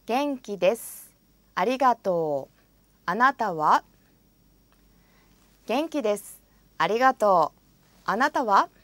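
A woman's voice: medium pitch, expressive, a bit bright, dry recording. A woman in a studio speaking example phrases for a language course, saying each one carefully and then repeating it. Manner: careful, deliberate pronunciation.

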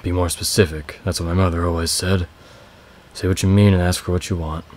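A man voicing a talkative, raspy male slave with a scraggly beard who boasts about stealing livestock, in a low, flat read. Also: fairly quick, a little hiss or hum, close to an everyday voice. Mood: prodding. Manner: chatty, prodding, amused.

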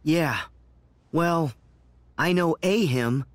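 A man's voice: on the high side, very slow, no echo. A man playing an earnest, idealistic teenage boy hero in a video game, sincere and emphatic. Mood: serious, indignant.

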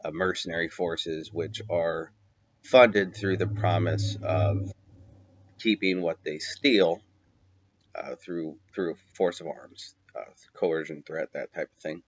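A man narrating a video essay in an unscripted, halting way, with many fillers and long spoken lists. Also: low-pitched, steady tone, highs cut off, slight background noise. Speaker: commentator.

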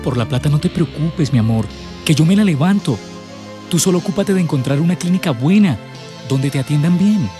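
An actor playing an earnest, supportive young Colombian boyfriend in a radio soap opera. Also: male voice, a little high, lively intonation, fairly quick, music in the background, close to an everyday voice. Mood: concerned, supportive.

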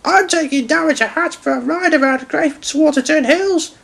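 A performer voicing an eager, happy young male train engine in a children's story, polite and excitable. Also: high-pitched, normal speed, creaky, pitched above the performer's own voice. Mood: cheerful, eager.

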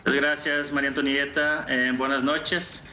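Bright-voiced man in his thirties explaining. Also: medium pitch, little pitch movement, normal speed, faint music behind, rough recording. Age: 30s. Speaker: marketing manager, interview guest. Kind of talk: informal explanatory interview answers. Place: indoor room, on a video call. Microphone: video call.

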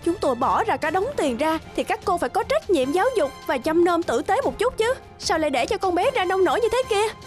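A woman voicing a busy, brusque young mother in an animated drama, curt and quick to blame. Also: extremely high pitch, soft background music. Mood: angry, accusing. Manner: curt, accusatory.

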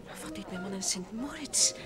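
A woman's voice: mid-range voice, expressive, a little fast, natural voice. An actress playing a wary, impatient married woman, formal and direct, in vintage radio drama style. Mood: impatient, insistent. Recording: old radio play recording with music.

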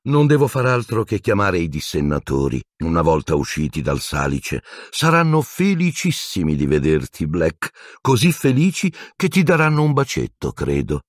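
A man's voice: low voice, animated, normal speed, no echo, studio quality. A man voicing a vindictive, sneering male schoolteacher unhinged with rage in a storybook reading, menacing, hissing and shrieking. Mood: gloating.

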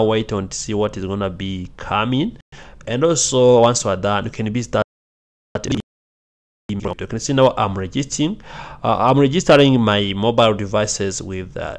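A man giving a screen-recorded software walkthrough as a tech tutorial host, talking in a halting way with many fillers and repeated phrases. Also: mid-range voice.

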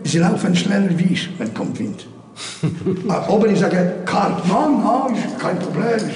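A man's voice: high-pitched, expressive, measured pace, darkish tone. A man with a Polish accent guiding an informal tour in jovial, broken German with short repeated phrases. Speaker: tour guide. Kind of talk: informal guided tour chat. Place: underground concrete bunker tunnels. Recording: echoey tunnel, distant mic, faint noise.

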